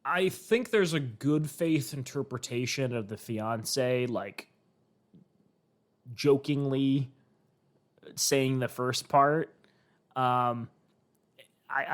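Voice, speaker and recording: A man co-hosting a casual podcast, giving measured, deliberate opinions. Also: mid-range voice. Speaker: podcast co-host.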